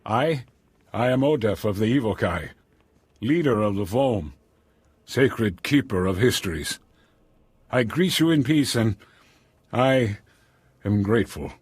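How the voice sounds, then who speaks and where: Solemn, husky alien chief. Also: male voice, fairly low pitch, expressive, no echo. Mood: solemn greeting. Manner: formal, measured, ceremonious. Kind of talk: video game dialogue.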